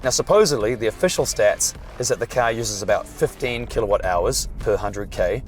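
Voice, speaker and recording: Hoarse kiwi guy reviewing a car. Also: lowish voice, expressive, moderate pace, soft background music. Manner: chatty, dry jokes. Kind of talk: casual review while driving. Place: inside a moving car.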